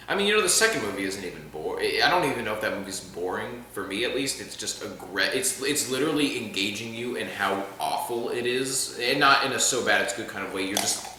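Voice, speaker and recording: Male film reviewer, lowish pitch, sarcastic rambling delivery, very reverberant room with faint hiss. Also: expressive, a little fast, hardly any pauses, bright, forceful tone. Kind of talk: casual chat. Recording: very echoey room, faint noise.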